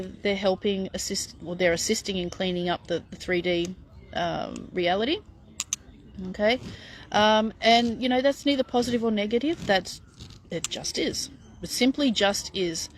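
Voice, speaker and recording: A woman's voice: mid-range voice, a little hiss or hum. A middle-aged woman chatting frankly as she answers viewer questions, with lots of fillers and restarts. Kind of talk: casual live Q&A.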